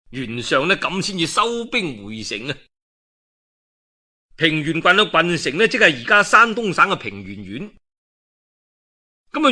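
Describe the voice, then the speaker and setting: A man with a Guangdong Cantonese accent telling a historical tale in animated, colloquial traditional storyteller style. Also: on the high side. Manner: colloquial, expressive, dramatic with frequent emphatic particles. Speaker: storyteller of a classical historical novel.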